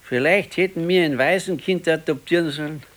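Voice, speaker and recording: A man's voice: a little high, brisk, fairly bright tone, lightly acted. An actor playing a gloomy, ailing pensioner in his sixties in a radio play, talking plainly and colloquially with a Bavarian accent. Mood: brooding. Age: 60s. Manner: plain, colloquial, brooding complaint. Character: gloomy ailing old pensioner husband, fearful and brooding. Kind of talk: naturalistic radio play dialogue.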